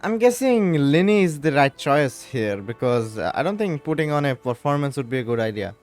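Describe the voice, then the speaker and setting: A man's voice: on the high side, sing-song, normal speed. A man giving casual gameplay commentary, thinking aloud hesitantly as he plays. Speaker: gamer playing and reacting.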